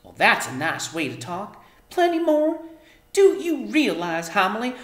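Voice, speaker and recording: A man's voice: high voice, very animated, a little slow, fairly bright tone, reverberant, pitched above the performer's own voice, full character voice. A man voicing a scolding, fussy, hot-tempered tiny mother from a storybook, with a nagging, exasperated delivery and rustic grammar. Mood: stern, indignant.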